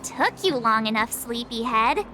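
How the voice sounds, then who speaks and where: High, animated, perky girl adventurer. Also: a little slow, over music. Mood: mock scolding. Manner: peppy, scolding. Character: perky, bossy, impatient teenage girl adventurer. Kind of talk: anime game voice acting.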